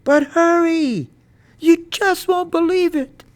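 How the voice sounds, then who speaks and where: Narrator acting country boy, storybook, high pitched, urgent hollering, excited. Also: slow. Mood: urgent, amazed. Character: excited country boy shouting for his mother.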